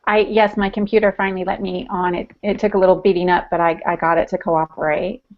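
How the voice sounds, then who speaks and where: A woman's voice: on the low side, steady tone, quick pace. A woman chatting casually on a podcast. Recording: dull lo-fi connection.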